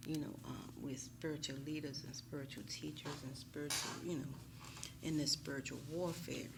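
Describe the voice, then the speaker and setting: Low, gravelly American woman. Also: normal speed, background noise. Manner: animated, emphatic, slangy, often checking with "right". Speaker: YouTube tarot reader. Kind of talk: casual storytime talk to viewers.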